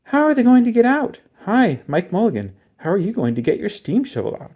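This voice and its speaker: A man voicing excited townspeople in a children's storybook, loud and exclaiming. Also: high-pitched, brisk, husky, voice pushed up, full character voice. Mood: puzzled, urgent. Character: excited crowd of cheering, questioning townspeople.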